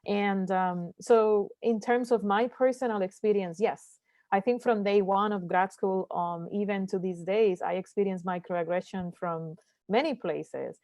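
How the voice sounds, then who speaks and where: A woman from Puerto Rico whose first language is Spanish, speaking earnestly as a panelist in an online discussion, often pausing with fillers and restarting sentences. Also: mid-range voice, darkish tone, no room sound. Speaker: panelist, scientist.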